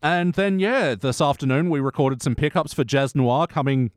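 A man's voice: fairly high pitch, normal speed, no room sound, clean studio sound. A man hosting a podcast in casual chat, speaking informally and swearing freely. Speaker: podcast host.

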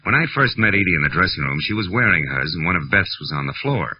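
An American actor playing a world-weary private detective in a 1940s radio noir drama, clipped and wry. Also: male voice, deep voice, sing-song, fast talker, a little hiss or hum. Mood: matter-of-fact. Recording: dull vintage 1940s radio recording, music.

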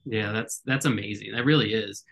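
A man's voice: fairly low pitch, normal speed, gravelly, fairly bright tone. A man hosting a podcast interview, friendly and enthusiastic, often repeating words as he goes. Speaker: podcast host. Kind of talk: casual interview.

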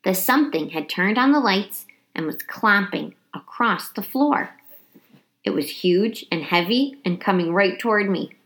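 A woman reading a children's storybook aloud with dramatic, animated delivery and emphasized words. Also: mid-range voice, a little slow. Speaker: teacher reading a book aloud. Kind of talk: expressive children's storybook narration.

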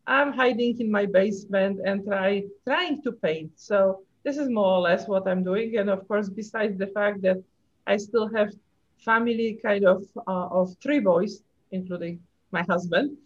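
Polish-accented woman chatting away, cheerful, mid pitch, kinda muffled audio. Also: unhurried. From Poland. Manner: chatty and cheerful, laughs between phrases. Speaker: guest, pathologist. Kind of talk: casual chat. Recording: dull lo-fi audio.

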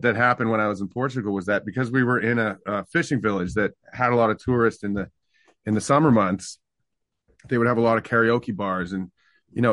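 A middle-aged man from Canada talks reflectively as an interview guest, pausing on fillers and restarting his sentences. His voice sits a little low but has a bright, forward edge. The recording is dry and close. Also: no echo. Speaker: guest singer-songwriter. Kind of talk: relaxed interview conversation.

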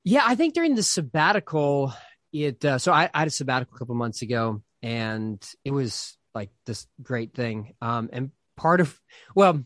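A man's voice: mid-range voice, sing-song, moderate pace, fairly bright tone, no room sound. Casting: man, 30s, pastor, loose and chatty, dry close mic. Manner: chatty, rambling with many fillers and restarts. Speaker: pastor and author. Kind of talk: casual interview conversation.

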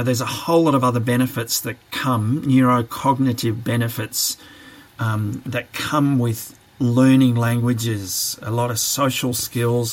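A man answering interview questions on a podcast, reflective and careful, often pausing with fillers as he works through his ideas. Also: medium pitch. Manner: reflective, hesitant, many fillers and restarts. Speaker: podcast guest, training manager.

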